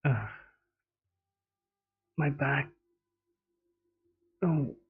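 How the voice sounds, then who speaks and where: A man giving casual, low-key reaction commentary as he streams a game, muttering in short remarks. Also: extremely slow, breathy edge, phone-quality sound. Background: game music.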